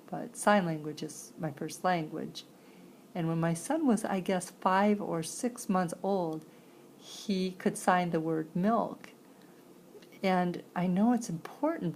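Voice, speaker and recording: A woman with an American accent and a creaky voice, interpreting a signed personal story aloud in halting phrases with pauses. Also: fairly low pitch, a little mellow, slight background noise. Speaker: sign language interpreter voicing a signer.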